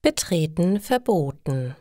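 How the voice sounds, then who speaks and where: Slow, low-pitched woman narrating simple stories. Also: sing-song, dark tone, no room sound, clean studio sound. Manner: careful, clearly separated short sentences. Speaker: storyteller for language learners.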